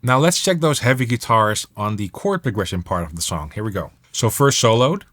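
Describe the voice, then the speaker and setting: A man's voice: on the low side, expressive, brisk, a bit bright. Man giving a casual guitar production tutorial. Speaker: music production instructor and guitarist. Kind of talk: casual software tutorial, explaining while demonstrating.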